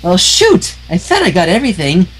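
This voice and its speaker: A man voicing a hyper, cheerful young female pony baker who rambles in a high squeaky voice. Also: wildly swooping pitch, moderate pace, a bit bright, slight background noise, heavily acted. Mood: chirpy. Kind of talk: amateur reading of fan fiction.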